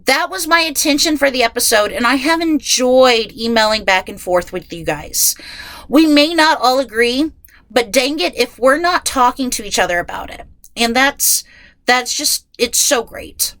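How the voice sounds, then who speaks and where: Female podcast host, highish bright voice, nasal from a head cold, casual and rambling, self-deprecating. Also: lively intonation, moderate pace, dry recording. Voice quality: nasal, stuffed-up. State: getting over a head cold. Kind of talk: casual, chatty solo podcast. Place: inside a closet at home.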